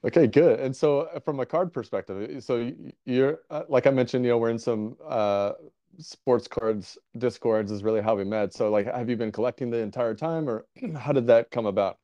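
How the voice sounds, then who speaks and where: A man hosts a relaxed interview podcast, with a mid-range voice and loose, rambling talk. The sound is dry and close, with no room around it. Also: medium pitch, normal speed, no room sound, lo-fi sound. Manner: easygoing, rambling, many fillers and restarts. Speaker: podcast host. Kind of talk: casual interview.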